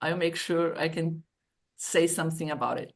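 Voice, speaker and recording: A woman's voice: sits low, normal speed, creaky. Brazilian woman in her fifties. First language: Portuguese. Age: 50s. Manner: hesitant, explains step by step. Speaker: guest. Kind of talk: casual online interview.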